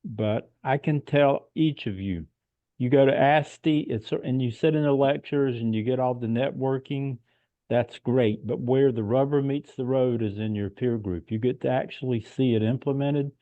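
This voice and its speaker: A man's voice: mid-range voice, normal speed, dry recording. A man who sold his business, speaking plainly and earnestly on a video call. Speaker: retired business owner. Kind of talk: casual video call discussion. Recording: tinny dry phone-like call audio.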